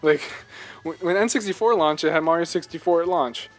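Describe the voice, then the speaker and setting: Higher-voiced man in earnest gaming chat. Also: a little fast, soft background music. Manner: earnest, emphatic fan talk with rhetorical questions. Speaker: podcast co-host. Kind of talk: casual video game discussion.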